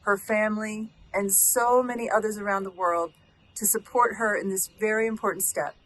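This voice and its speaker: Woman, mid pitch, formal recorded tribute, proud tone. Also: fairly even intonation, moderate pace, a bit bright, no room sound, poor audio. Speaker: mentor giving a recorded message. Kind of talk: prepared video message.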